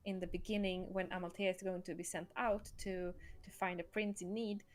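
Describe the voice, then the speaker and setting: A woman's voice: on the low side, little pitch movement, a little fast. A woman hosting a casual livestream, explaining and answering questions about a game she created, a little coyly.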